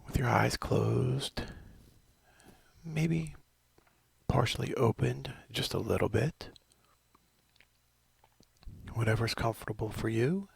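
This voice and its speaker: A middle-aged man leading a guided body scan meditation, slow and soothing, often sinking into a whisper. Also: medium pitch, creaky, slightly rough, no room sound.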